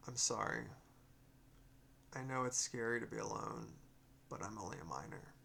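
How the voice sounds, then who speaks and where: A man's voice: lowish voice, moderate pace, gravelly, pushed and bright, a little hiss or hum, heavily acted. Earnest young boy miner, read by a man. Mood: apologetic, resigned. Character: young boy miner, matter-of-fact, lonely wanderer.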